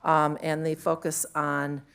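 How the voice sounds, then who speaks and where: A woman with a deep, low voice talks to a conference room through a handheld mic. She is chatty and loose, with frequent fillers and questions tagged onto her points. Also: monotone, slow, gravelly, a bit bright, smooth. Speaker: conference panel speaker. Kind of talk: conference panel talk.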